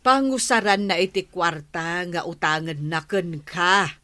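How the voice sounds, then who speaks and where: A woman's voice: lowish voice, measured pace, sharp tone, no echo. A woman with an Ilocano accent playing a suspicious, prying villager who asks questions in a radio comedy drama.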